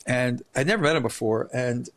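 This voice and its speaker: A man's voice: medium pitch, brisk, fairly bright tone. A man hosting an interview podcast, telling rambling stories in a chatty way with many restarts. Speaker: podcast host. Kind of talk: casual interview chat.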